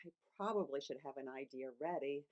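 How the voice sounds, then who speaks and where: A woman's voice: a bass voice, fairly quick, a little mellow, highs cut off. An older woman hosting a casual video, talking in a playful, encouraging way. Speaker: children's author and host. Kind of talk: casual vlog for grandparents.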